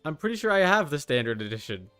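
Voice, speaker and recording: A man's voice: a little high, very animated, fast, fairly bright tone, clean tone, no room sound, soft background music. A man chatting casually and swearing as he livestreams while setting up a game character. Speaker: streamer.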